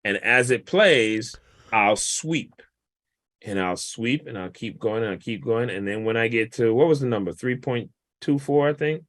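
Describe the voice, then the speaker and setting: A man, a guest mix engineer, casually walking through a vocal mixing session in a relaxed, explanatory, colloquial way. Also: medium pitch, normal speed, no echo.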